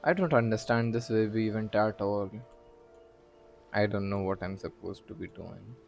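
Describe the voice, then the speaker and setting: A man's voice: on the low side, steady tone, normal speed, creaky. A man chatting casually on a game livestream, thinking aloud in a hesitant, puzzled way. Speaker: game streamer. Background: faint game music.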